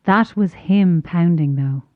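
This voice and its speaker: A woman playing a mysterious caller in a horror audio drama, measured, grave and insistent as she delivers hard truths. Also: lowish voice, narrow, tinny audio.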